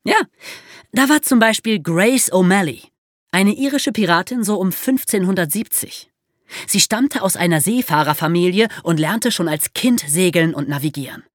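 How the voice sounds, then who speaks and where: A woman hosts and narrates history for young listeners. Her voice sits a little low and swings expressively as she explains. The sound is close and dry. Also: lively intonation, normal speed, no echo. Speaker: podcast host telling history.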